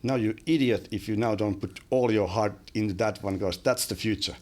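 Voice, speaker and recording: Finnish guy, 40s, kinda low voice, lots of uhs and restarts, studio mic. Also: fairly quick, darkish tone. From Finland. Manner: hesitant, many fillers and restarts. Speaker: guest. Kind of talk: casual interview chat. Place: small bright studio room. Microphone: studio mic on boom arm.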